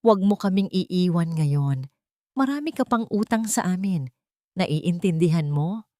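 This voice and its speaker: A woman's voice: on the low side, lively intonation, a little slow, mellow, dry recording. A woman voicing a firm, loving, emotional woman in a dramatic audiobook. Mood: firm, tearful. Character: firm, loving woman holding back tears. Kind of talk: dramatic audiobook character reading.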